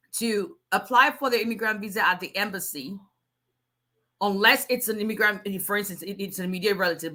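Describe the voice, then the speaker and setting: Strong-voiced woman, bright and forceful. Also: mid-range voice. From Nigeria. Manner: strong voice, chatty, with many restarts. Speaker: immigration attorney. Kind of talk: casual livestream Q&A.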